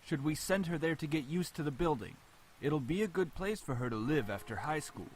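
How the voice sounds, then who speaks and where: A man's voice: on the high side, expressive, a little fast, darkish tone, slightly rough, poor audio. An actor playing a cold, disdainful businessman father in a visual novel game. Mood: brisk. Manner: stern, disdainful. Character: cold, bitter businessman father of a teenage girl.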